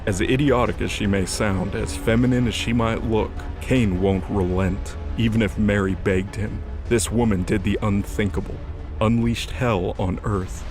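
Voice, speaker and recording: A man with a low voice narrates a scripted, dramatic story as an audio drama. Music plays prominently underneath him. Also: fairly even intonation, normal speed.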